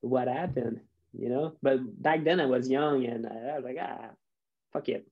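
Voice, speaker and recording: A man's voice: medium pitch, moderate pace, vocal fry, slightly rough, muffled recording. A man in his thirties telling rambling stories in casual chat, with many restarts. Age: 30s. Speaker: musician, guest.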